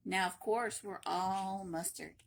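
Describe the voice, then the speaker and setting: A woman reading a children's picture book aloud in an animated, playful, emphatic way, with brief asides. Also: medium pitch, slow pace, creaky, slight background noise. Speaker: library read-aloud reader.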